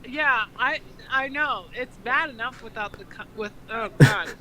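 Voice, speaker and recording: A woman's voice: fairly high pitch, unhurried, pushed and bright, low-quality recording. A woman reading fan fiction aloud in a mock dramatic style, breaking off into casual, incredulous commentary with some swearing. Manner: incredulous, mocking, swears freely.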